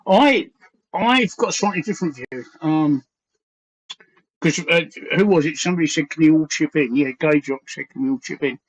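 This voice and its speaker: A man's voice: a little high, moderate pace, husky. A man in his sixties with a London Cockney accent, hosting a casual livestream chat, earnest and rambling, repeating phrases as he thinks. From East London, England. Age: 60s. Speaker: livestream host. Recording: dull lo-fi stream audio.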